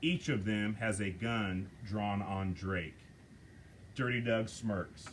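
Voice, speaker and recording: A man reading stage directions aloud at a script table reading, slow and measured. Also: lowish voice, slight background noise.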